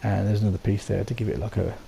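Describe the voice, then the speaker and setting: A man with a low, gravelly voice, made croaky by a sore throat. His tone is dark and mellow, and he rambles along with ums and false starts as he walks through his build. A faint hiss sits under the voice. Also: fairly even intonation, racing. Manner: rambling, full of ums and restarts. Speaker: game settlement builder. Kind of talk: casual voice-over walkthrough.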